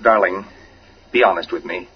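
An actor playing a troubled, earnest husband in a 1940s-style radio drama, emphatic and halting in his delivery. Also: sits low, breathy edge. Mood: tense, probing. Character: troubled husband suspecting his wife, startled, earnest friend. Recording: muffled old radio recording.

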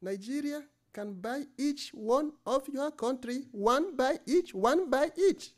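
A man voicing an older male shop owner who is blunt and teasing, exclaiming in a drawn-out, emphatic way. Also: very high voice, animated, voice pushed up, full character voice. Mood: boastful.

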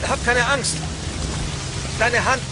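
Very high-pitched, pleading survivor. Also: male voice, fairly even intonation, takes it slowly. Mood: anxious, reassuring. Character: concerned, helpful disaster survivor. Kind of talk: dramatized documentary acting. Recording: loud rain-like noise over the voice.

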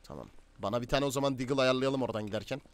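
A man's voice: mid-range voice, racing, a little hiss or hum. A man with a Turkish accent streaming online roleplay, bossy, slangy and combative as he commentates and talks to players. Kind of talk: live gaming commentary and in-game roleplay chat.

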